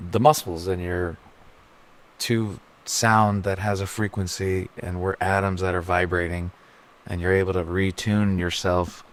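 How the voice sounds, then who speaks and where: A man co-hosting a casual interview, enthusiastic and affirming, swearing casually now and then. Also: sits low. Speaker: podcast co-host.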